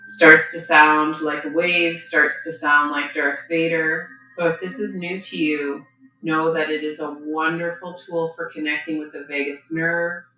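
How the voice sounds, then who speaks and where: A woman yoga teacher giving gentle, unhurried guided breathing and posture instruction, with pauses between phrases. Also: deep voice, flat delivery, faint music behind. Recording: very echoey room, faint background noise.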